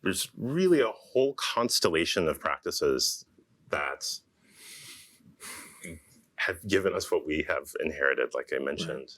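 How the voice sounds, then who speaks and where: A man moderating a lecture Q&A, asking thoughtful questions at a measured pace. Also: a bass voice, big pitch swings, a bit bright. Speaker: moderator, urban planner.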